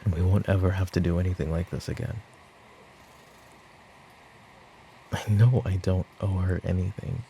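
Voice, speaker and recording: Man acting as a low, gravelly, controlling mafia boss. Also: fairly even intonation, mellow, a little hiss or hum, rough recording. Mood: weary, subdued. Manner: commanding, deliberate, menacing. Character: stern, controlling mafia boss and protective father. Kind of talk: roleplay ASMR acting.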